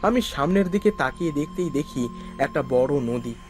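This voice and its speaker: A man's voice: fairly high pitch, expressive, normal speed, darkish tone, faint music behind. A man narrating a spooky cartoon story in the first person. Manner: stammers nervously when frightened. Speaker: narrator and lead character.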